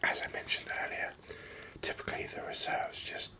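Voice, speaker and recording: A gaming host giving ASMR commentary in a breathy whisper, musing in an unhurried, hesitant way close to the microphone.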